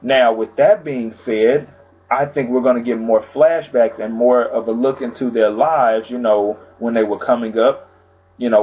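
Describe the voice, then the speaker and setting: A man vlogging about TV news in a casual, chatty way, calm and low-key, with lots of filler phrases. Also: husky. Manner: chatty, frequent "pretty much" and "you know what I'm saying". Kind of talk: casual chat to camera. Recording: tinny phone-like sound.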